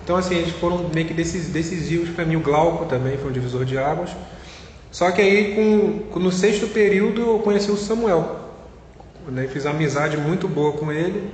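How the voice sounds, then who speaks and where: Young man, carioca accent, slightly high voice, hesitant, lots of fillers, roomy echo, long reverb. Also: brisk, a little mellow. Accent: Carioca Brazilian Portuguese. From Rio de Janeiro, Brazil. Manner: hesitant, many fillers and restarts. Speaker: interviewee, physics student. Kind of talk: casual interview chat. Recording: echoey room with long reverb, faint noise.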